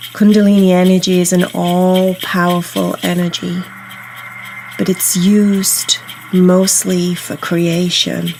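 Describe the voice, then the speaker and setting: A woman guiding a meditation in a soothing, unhurried, instructional way, leaving pauses between phrases. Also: lowish voice, gravelly, slight background noise, poor audio. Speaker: meditation guide. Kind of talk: guided meditation narration. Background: soft ambient meditation music.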